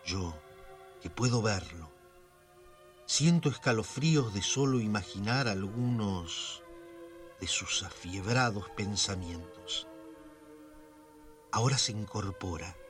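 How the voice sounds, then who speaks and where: Slow, ominous radio-thriller narrator. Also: male voice, lowish voice, expressive. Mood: grave suspense. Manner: theatrical, solemn, suspenseful. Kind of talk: melodramatic radio serial narration. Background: music.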